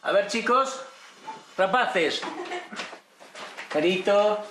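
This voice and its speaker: A man past fifty speaks casually as an interviewee. His voice sits a little high, and there is faint noise behind him. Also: expressive, extremely slow, fairly bright tone. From born in Galicia, raised in Argentina. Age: 50s or older. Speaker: emigrant interviewee, doctor. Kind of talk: casual personal introduction.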